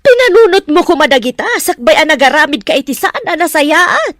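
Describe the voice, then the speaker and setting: A woman's voice: high voice, big pitch swings, fairly quick, a bit bright, no room sound. An actress with a Filipino accent playing an indignant, protective middle-aged mother in a radio drama. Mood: stern.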